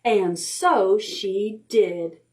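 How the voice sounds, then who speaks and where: Unhurried woman reading a picture book aloud. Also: mid-range voice. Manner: expressive, rhythmic reading with drawn-out words and chanted sound words. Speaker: children's librarian leading storytime. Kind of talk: read-aloud picture book storytime for preschoolers.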